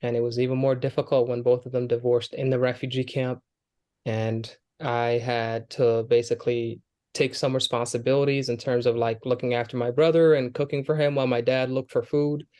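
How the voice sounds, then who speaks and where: A man's voice: medium pitch, little pitch movement, fairly quick, dark tone. Earnest young man telling his story. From Kenya, Somali family. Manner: earnest, with frequent fillers and restarts. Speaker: guest, Air Force airman. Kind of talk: conversational interview storytelling.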